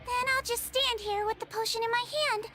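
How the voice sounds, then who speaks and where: Tiny kid-sounding game nurse, super high, calm n firm, noisy capture. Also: female voice, a little fast. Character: small calm childlike nurse creature, kind but firm. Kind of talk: video game voice acting.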